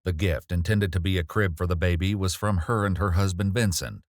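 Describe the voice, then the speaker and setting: Male narrator, scripted documentary read, measured, very deep, dry studio sound. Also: no echo. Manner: even, measured delivery.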